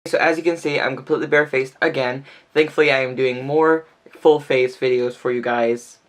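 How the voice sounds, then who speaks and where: Brief: male beauty vlogger, mid-pitched, chatty and casual, slightly stuffy nose. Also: steady tone, brisk, faint music behind. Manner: chatty, fills speech with "like". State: stuffy, nasal from sneezing. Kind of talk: casual makeup tutorial talk.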